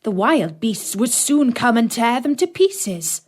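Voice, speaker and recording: A woman's voice: on the high side, very animated, takes it slowly, a little mellow, husky, voice pushed up, heavily acted. A woman voicing a poor, worried, tender-hearted woodcutter father in a storybook, earnest and careworn. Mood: anxious.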